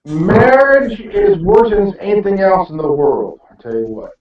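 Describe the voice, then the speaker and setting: A high-voiced man trades jokes in a world-weary, sardonic manner. The sound is very echoey and muffled, well away from the mic. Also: sing-song, measured pace, recorded from a distance, crowd noise. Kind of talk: casual joking round-table chat.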